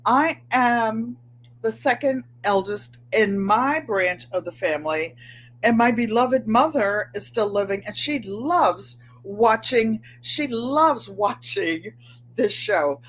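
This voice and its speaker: A woman in her seventies with a New England accent, slightly nasal and twangy, chatting excitedly to viewers as host of her livestream from a room at home. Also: fairly high pitch, takes it slowly, rough recording. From Connecticut, USA. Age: 70s. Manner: chatty, excitable, gestures with hands. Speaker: channel host. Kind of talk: casual livestream chat.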